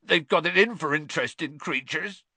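A man voicing a huge, soft-hearted gamekeeper who sobs and talks in a rough rustic dialect. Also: on the high side, moderate pace, dry recording, voice pushed up, heavily acted. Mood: sobbing.

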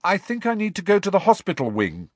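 A man's voice: high voice, wildly swooping pitch, fast, no echo, voice pushed up, exaggerated voice. A man voicing an earnest, anxious schoolboy who is hesitant but insistent, in a storybook. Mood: firm.

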